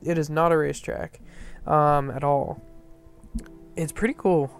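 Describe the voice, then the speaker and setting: A man's voice: on the high side, steady tone, a little slow, creaky. A man with a stuffy, nasal voice giving casual, rambling commentary over gameplay, full of fillers and restarts. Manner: rambling, filler-heavy, self-correcting. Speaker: map reviewer. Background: faint background music.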